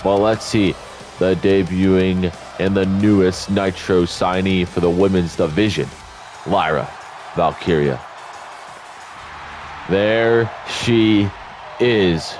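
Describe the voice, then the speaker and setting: A deep-voiced man talks through a wrestling video game match in a loose, rambling way, correcting himself as he goes. He sounds congested and tired, as if he has just woken up. Faint game music plays underneath. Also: fairly even intonation, takes it slowly, long pauses, darkish tone. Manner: chatty, rambling asides, self-corrections. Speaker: YouTube gaming commentator. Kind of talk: casual play-by-play commentary over a wrestling video game.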